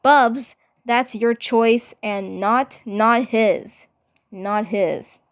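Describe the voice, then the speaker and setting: A woman's voice: fairly high pitch, extremely slow. A woman voicing a tough cartoon superhero girl with a blunt, teasing manner. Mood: wary, teasing.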